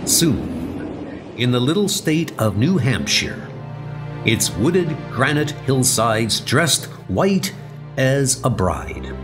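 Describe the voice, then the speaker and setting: Casting: male narrator, middle register, expressive, theatrical pauses, dramatic scripted storytelling, music bed. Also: mid-range voice.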